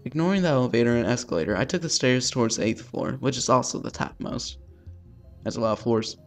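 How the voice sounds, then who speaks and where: Male gaming host, creaky mid-range voice, casual visual novel read-aloud, sweary and self-deprecating, music underneath. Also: medium pitch, quick pace. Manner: self-deprecating, sweary, stumbling over words.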